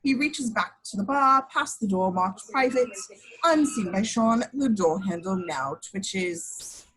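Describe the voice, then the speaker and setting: A woman's voice: medium pitch, lively intonation, takes it slowly, fairly bright tone, slight background noise, low-quality recording. A woman reading screenplay action lines as the narrator of a table read. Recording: home recording.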